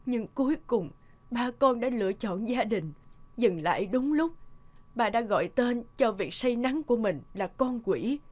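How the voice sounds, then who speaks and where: A tender mother from an animated family drama. Her voice sits on the high side and she speaks softly and sentimentally, like someone confiding a long-held regret to her son. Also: a little slow. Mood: reflective, regretful.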